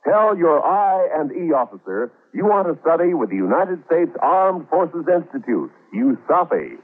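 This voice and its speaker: Animated vintage male radio announcer. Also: on the high side, measured pace. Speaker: public service announcer. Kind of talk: 1940s radio announcement, scripted. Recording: muffled old radio recording.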